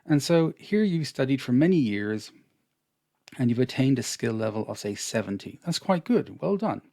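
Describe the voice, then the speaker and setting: A man with an Irish accent, an English teacher explaining a lesson over slides in a measured, patient manner. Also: mid-range voice, very animated, gravelly, mellow, no room sound, clean studio sound. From Ireland.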